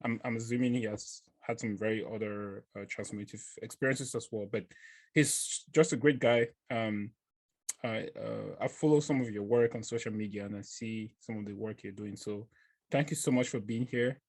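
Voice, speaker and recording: Halting male podcast host. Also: lowish voice, steady tone, a little mellow. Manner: halting, many fillers and restarts, friendly. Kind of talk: casual podcast interview.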